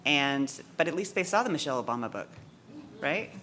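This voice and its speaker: A man's voice: fairly high pitch, lively intonation, brisk, bright, forceful tone, slight background noise. A man bookseller speaking frankly and conversationally on a conference panel. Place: conference session room.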